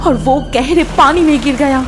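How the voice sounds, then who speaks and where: A woman's voice: high-pitched, normal speed, over music. A woman narrating a scripted story as the storyteller.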